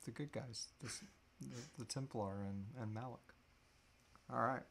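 A man's voice: medium pitch, normal speed, gravelly, a bit bright, a little hiss or hum. A man in his thirties chatting casually and jokingly as co-host of a live Q&A. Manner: jokey, playful. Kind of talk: casual chat. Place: small room indoors, on a couch.

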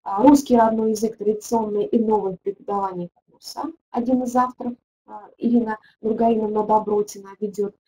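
A woman's voice: mid-range voice, steady tone, fairly quick, mellow, low-quality recording. A middle-aged woman, a teaching methodologist, leading a webinar and answering viewer questions, thinking aloud with frequent hesitations.

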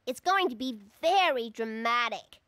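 A woman's voice: a little high, expressive, measured pace, a bit bright, dry recording. A woman voicing an eager, earnest young girl bunny in a children's cartoon, a helpful best friend with a dramatic streak. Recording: music behind the voice.

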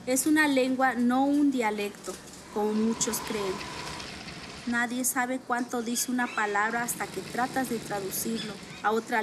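A woman whose first language is Tzotzil, reading her own written account aloud in a measured, reflective way. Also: fairly high pitch, noisy background.

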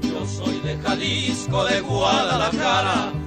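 A woman's voice: medium pitch, steady tone, slow, loud music behind the voice. A woman singing a proud, full-throated ranchera song. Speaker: mariachi singer. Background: loud mariachi band.

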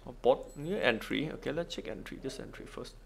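A man giving casual game walkthrough commentary, thinking aloud in halting, non-native English. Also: mid-range voice, vocal fry, music in the background. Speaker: gaming video host.

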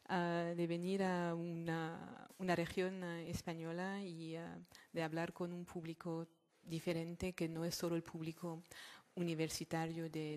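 A woman speaks to a forum audience in a slightly low voice. Her Spanish has a non-native accent. She delivers her lecture haltingly, often pausing to search for the next word. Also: darkish tone. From born in Morocco, French mother, Catalan family. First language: not Spanish; French-schooled. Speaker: guest speaker, sociologist and activist.

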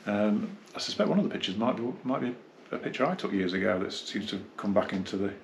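Low, creaky man chatting casually. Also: animated, a little hiss or hum, low-quality recording. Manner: rambling, halting, reminiscing. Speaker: hi-fi dealer and reviewer. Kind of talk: casual unscripted product review. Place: small carpeted indoor room, seated.

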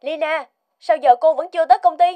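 A woman's voice: very high voice, fairly even intonation, quick pace, highs cut off. A woman voicing a stern, impatient office colleague in a cartoon. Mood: demanding. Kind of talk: dubbed cartoon acting.

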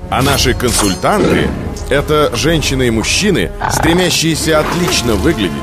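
A male narrator delivers a scripted promotional read for a company. His intonation moves widely and expressively while the phrasing stays deliberate. Music plays clearly beneath his voice. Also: mid-range voice, expressive. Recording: music under the voice.

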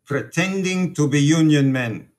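An actor playing a Polish immigrant priest in a radio play, guarded and reproachful, with measured, formal phrasing. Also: male voice, fairly high pitch, lightly acted. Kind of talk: radio drama acting.

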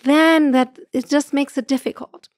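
Podcast guest, German-accented woman, middle-aged, expressive and earnest, dead-dry studio sound. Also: high voice, unhurried, no room sound. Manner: earnest, gestures a lot, laughs often. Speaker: guest astrophysicist. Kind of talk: podcast conversation. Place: room with curtains. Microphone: studio mic on boom arm.

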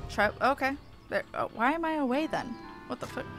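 A woman's voice: high voice, animated, fairly quick, over music. A woman game streamer giving casual, informal commentary in muttered asides.

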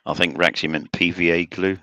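A man's voice: low-pitched, level tone, brisk, sharp tone, dry recording, studio quality. A man co-hosting a live stream, trading teasing, chatty banter and welcoming viewers. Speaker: co-host. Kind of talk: casual chat.

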